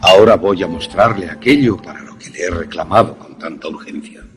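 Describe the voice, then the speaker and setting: An actor playing a courteous, eloquent older professor and inventor in a suspense radio play, speaking formally and theatrically with small hesitant repetitions. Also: male voice, sits low, fairly quick, faint music behind, close to an everyday voice. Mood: grandiose. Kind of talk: Spanish-language suspense radio drama acting.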